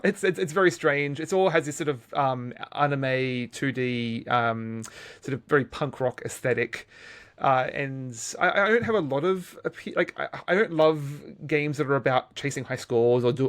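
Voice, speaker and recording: A man co-hosting a video game podcast in casual discussion, often repeating and correcting his words as he goes. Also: medium pitch.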